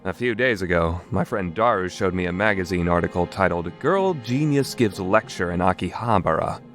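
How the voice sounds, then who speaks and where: A man's voice: low voice, animated, normal speed, a bit bright, faint music behind. A man playing a paranoid, theatrical young self-styled mad scientist in an anime dub, with pompous, dramatic delivery. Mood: tense narration. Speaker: amateur voice actor. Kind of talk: dramatic fandub performance with first-person narration.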